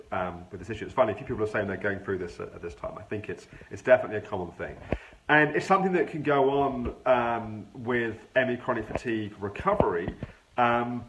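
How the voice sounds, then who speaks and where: A man who has a cold, sounding nasal and gravelly, explaining a thought pattern in a measured, informal live stream. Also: mid-range voice, rough recording. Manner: measured, explains with everyday examples. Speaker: health clinic practitioner hosting a live stream.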